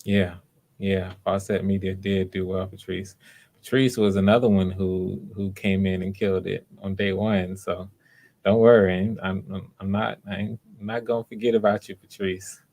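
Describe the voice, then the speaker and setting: A man speaking casually as host and casting director of a livestreamed audition, in a low-key, halting way with repeated words. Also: little pitch movement, darkish tone.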